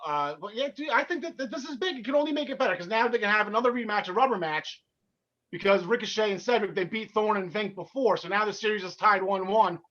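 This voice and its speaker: A man with a North Jersey accent rattles through his thoughts on the show at speed, tripping over his words and restarting. His voice sits high and sounds bright and edgy. The recording is muffled and lo-fi. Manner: fast-talking, excitable, stumbling restarts. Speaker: podcast co-host. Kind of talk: casual wrestling chat.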